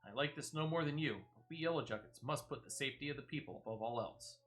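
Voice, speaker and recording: A man's voice: mid-range voice, quick pace, a bit bright, close to an everyday voice. A man voicing a stern, dutiful guard officer from a fantasy video game, with formal and earnest phrasing. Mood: earnest, grave. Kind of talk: video game dialogue read aloud.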